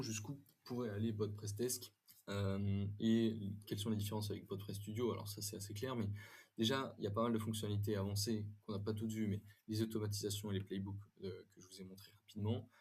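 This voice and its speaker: A man presenting an informal software demo, speaking in a halting way with frequent hesitations and self-corrections. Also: lowish voice, slightly rough. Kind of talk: informal screen-share software demo and review.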